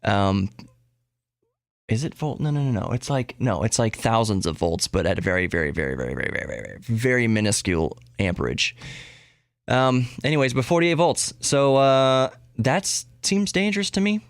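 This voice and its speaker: A man's voice: medium pitch, animated, normal speed, dry recording, clean studio sound. A man hosting a podcast solo, talking casually in a rambling way, often interrupting himself and repeating words for emphasis. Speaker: podcast host. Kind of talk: casual solo tech and geek news talk.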